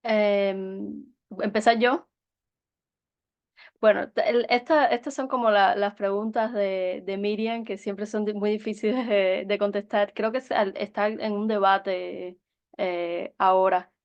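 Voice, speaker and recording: A woman invited to speak in a discussion, answering slowly and hesitantly, searching for her words. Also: medium pitch, fairly even intonation, highs cut off.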